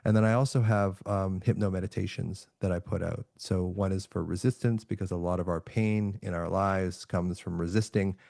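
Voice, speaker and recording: Gravelly low-voiced calm man. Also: normal speed, few pauses, no echo, clean studio sound. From Canada. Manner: calm, reflective, soft-spoken with frequent restarts. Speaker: podcast guest, author and neuroscientist. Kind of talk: relaxed remote podcast interview.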